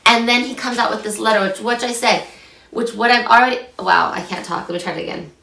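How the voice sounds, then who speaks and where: A young woman with a slightly hoarse voice from a recent illness, teaching a chatty, animated scripture lesson to camera from a small room at home. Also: on the high side, quick pace. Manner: chatty and animated, gesturing a lot. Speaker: scripture study host. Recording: echoey room, mic at a distance.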